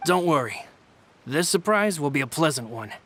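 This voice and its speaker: A man playing a courteous, loyal gentleman aide in a video game, with a polite, measured, formal manner. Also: fairly high pitch, expressive, a little hiss or hum. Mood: reassuring.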